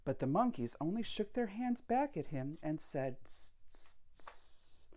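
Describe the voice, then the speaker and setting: A woman reading a picture book aloud for children, expressive and deliberate, with dramatic pauses. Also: deep voice. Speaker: storyteller.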